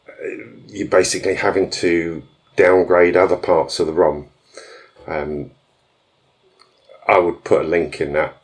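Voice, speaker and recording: A man hosting a live stream and answering viewer questions in a casual, rambling way, full of fillers and restarts. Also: very deep voice. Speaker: tuning shop host answering viewer questions.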